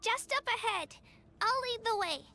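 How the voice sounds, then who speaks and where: A woman playing a plucky, earnest young girl adventurer in an animated fantasy video game. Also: very high voice, measured pace, full character voice. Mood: determined, pleading.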